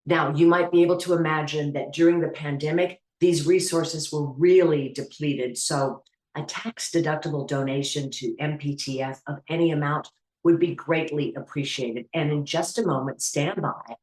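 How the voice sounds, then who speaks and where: A woman's voice: sits low, fairly even intonation, normal speed, a little mellow, echoey room, rough recording. A woman hosting, giving an upbeat, earnest scripted introduction to a radio play and appeal for donations. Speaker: host.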